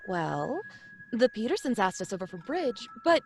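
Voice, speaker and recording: A woman playing a gentle, doting housewife and mother in a live radio play, speaking softly and sweetly. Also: fairly high pitch, big pitch swings, normal speed, faint music behind. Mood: content.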